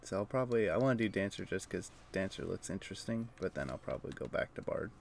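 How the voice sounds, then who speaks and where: Guy streaming a game, lowish creaky voice, chatting casually, bit of background noise. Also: animated, fast. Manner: low-key, conversational, thinking aloud. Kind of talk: casual live gameplay commentary and chat.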